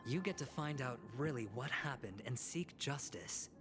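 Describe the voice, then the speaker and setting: An actor playing a smooth, scheming young male corporate negotiator in a fantasy video game, with a sly and persuasive manner. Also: mid-range voice, animated, normal speed, noisy background, close to an everyday voice. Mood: confident, persuasive. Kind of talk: theatrical video game voice acting. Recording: game music playing behind.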